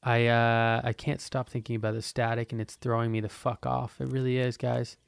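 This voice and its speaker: A man's voice: medium pitch, flat delivery, moderate pace, vocal fry, smooth, no room sound, clean studio sound. A man in his twenties hosting a podcast, talking in a loose, profane, meandering way with lots of restarts. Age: 20s. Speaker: podcast host. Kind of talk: casual rambling monologue.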